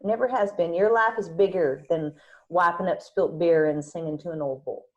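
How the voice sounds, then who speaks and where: A woman's voice: fairly low pitch, moderate pace, highs cut off, natural voice. A woman in a screenplay table read playing a tough, protective country bar owner and mother, blunt and wry. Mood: firm, resigned.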